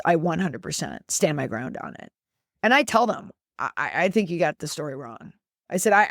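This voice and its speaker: A woman's voice: fairly low pitch, no room sound, studio quality. A middle-aged woman giving opinionated podcast commentary in an emphatic way, repeating words for stress.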